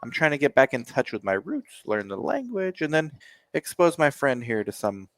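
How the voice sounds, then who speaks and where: A man's voice: on the high side, wildly swooping pitch, moderate pace, a bit bright, no room sound. A man, co-hosting a casual music reaction show, joking and sarcastic as he rambles. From United States.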